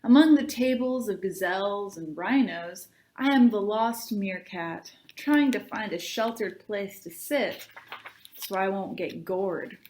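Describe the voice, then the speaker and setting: A woman reading aloud to children as a librarian, in an expressive, deliberate storybook style. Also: mid-range voice. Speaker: youth services librarian reading aloud to tweens.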